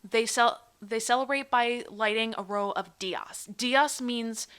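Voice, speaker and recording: A woman's voice: a little high, unhurried, a bit bright, clean tone. A woman from Pennsylvania with an American accent, presenting and telling personal stories as a guest. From Pennsylvania, United States.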